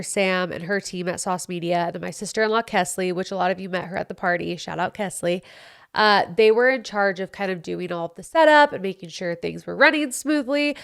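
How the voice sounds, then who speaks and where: A woman's voice: medium pitch, smooth. Congested chatty young woman podcaster. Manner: chatty, candid, playful. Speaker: podcast host. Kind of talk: casual solo podcast chat. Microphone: studio mic.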